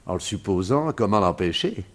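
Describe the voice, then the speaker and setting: An actor playing a polite, sceptical sidekick with a measured, questioning manner in a 1950s-style French radio mystery. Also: male voice, low-pitched, lively intonation.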